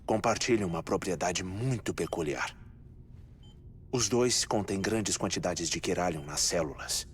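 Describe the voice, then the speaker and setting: A man with a Brazilian accent playing a calm, methodical scientist who explains findings in a dubbed game cutscene. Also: low voice, slight background noise. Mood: calm, explanatory. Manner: expository, measured. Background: music.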